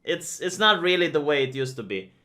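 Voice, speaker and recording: A man's voice: on the high side, big pitch swings, brisk, sharp tone. A man from Romania, giving opinionated vlog commentary in a conversational way, with lots of "uh" and "um". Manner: conversational and opinionated, many fillers. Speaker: video commentator.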